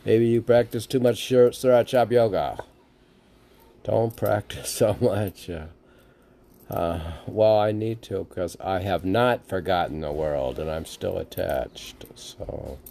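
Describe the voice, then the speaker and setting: A man speaks at an unhurried pace in a low, fry-laden voice. He rambles casually between reading verse and commenting on it, posing questions and answering them himself. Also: fairly even intonation, mellow. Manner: musing, asks and answers his own questions. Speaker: poetry reader and commentator.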